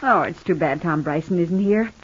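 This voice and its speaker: Tender radio-soap wife, dull old recording. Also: female voice, on the low side, moderate pace, slightly rough. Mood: brightly curious. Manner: tender, earnest, coaxing. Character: devoted, gentle wife recovering in hospital. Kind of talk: 1950s radio soap opera acting.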